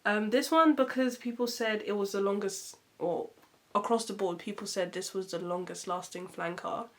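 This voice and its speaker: A woman reviewing perfumes in a casual vlog. She sounds nasal and muffled from a cold, and she talks in a chatty, expressive way with lots of fillers. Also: mid-range voice, normal speed. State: congested from a cold.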